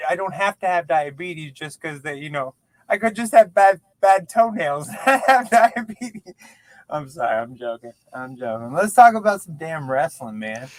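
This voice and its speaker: A man's voice: on the high side, big pitch swings, moderate pace, no echo. A man in his late thirties chatting as a guest, joking and self-deprecating, stumbling over words. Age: 30s. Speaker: podcast guest. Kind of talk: casual chat.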